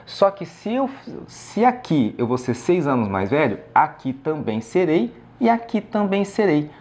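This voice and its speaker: A man explains a math problem step by step. He often pauses to check in with his students. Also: a little high, big pitch swings, darkish tone. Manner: frequent "tá?" and "ok?" check-ins, step by step. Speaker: math teacher.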